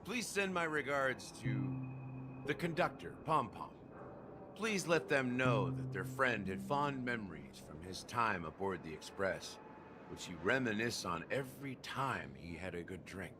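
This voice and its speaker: An actor playing a world-weary, enigmatic middle-aged man in a video game, speaking in a measured, wry and reflective way. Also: on the low side, expressive, noisy background. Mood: approving. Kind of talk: dramatic game dialogue.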